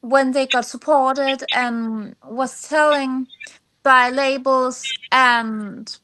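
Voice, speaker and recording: Casting: Danish woman singer-songwriter as interview guest, high voice, Danish accent, hesitant non-native phrasing. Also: steady tone, pushed and bright, low-quality recording. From Denmark. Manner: halting, searching for English words. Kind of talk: personal interview answers.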